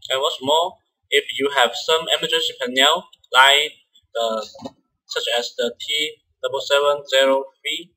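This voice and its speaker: A man who is a non-native English speaker presents a step-by-step software tutorial in a halting way, often repeating words. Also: medium pitch, rough recording. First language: not English.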